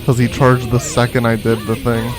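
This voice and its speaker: A man's voice: medium pitch, monotone, moderate pace. Casual man commentating over game music. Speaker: streamer playing a video game.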